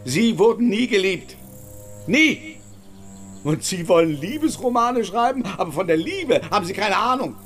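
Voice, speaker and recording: An actor playing a bitter, heartbroken, wrathful writer in a radio drama, scornful, formal and emphatic. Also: male voice, sits high, big pitch swings, normal speed, fairly bright tone, faint music behind. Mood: bitter anger.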